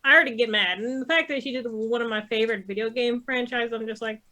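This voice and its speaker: A woman's voice: a little high, quick pace, sharp tone. A woman in a casual opinionated panel chat, blunt and exasperated, swearing heavily. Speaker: podcast co-host.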